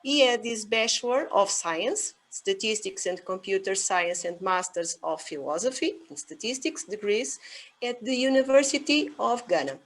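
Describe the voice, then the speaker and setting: A woman with a Portuguese accent introduces a speaker over a video call. She hesitates often, with fillers between phrases. Her voice sits at a middle pitch. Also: animated, moderate pace, rough recording. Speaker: session chair. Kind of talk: conference session chairing.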